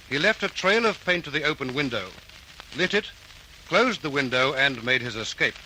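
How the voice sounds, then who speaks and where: Male radio crime narrator, old-time formal style, piercingly bright, slightly hissy recording. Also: a little high, rough recording. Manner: formal, earnest, measured.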